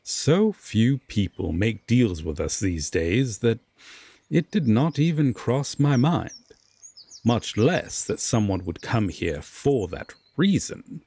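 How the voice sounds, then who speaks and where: A man playing a brooding, courteous Fae lord in a roleplay audio drama, guarded but reluctantly kind, speaking formally with dramatic pauses. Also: on the low side, sing-song, dark tone, slightly rough, no room sound, full character voice. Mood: apologetic, courteous.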